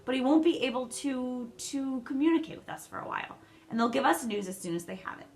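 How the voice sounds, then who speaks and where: A woman's voice: mid-range voice, lively intonation, normal speed, gravelly, sharp tone, a little hiss or hum. Woman reading as a tearful teenage girl.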